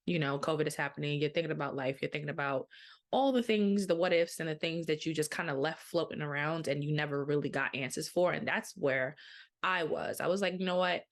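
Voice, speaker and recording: A woman in her thirties, a podcast host, talking candidly and casually about her own experience, acting out her thoughts. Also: sits low. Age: 30s. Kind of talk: casual personal storytelling.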